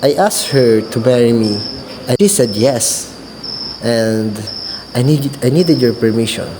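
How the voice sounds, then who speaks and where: A man playing an anxious, earnest seventeen-year-old farm boy in love in a student radio drama, speaking in a plain, deliberate way. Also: mid-range voice, lively intonation, noisy background, lightly acted. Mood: nervous. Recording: long hall reverb, music behind.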